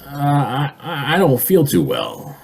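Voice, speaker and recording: Man acting evasive radio-play boss, husky voice, hesitant stammer, lively rise and fall. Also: mid-range voice, measured pace, full character voice. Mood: flustered, evasive. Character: evasive, flustered male boss dodging work. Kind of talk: old-time radio drama acting.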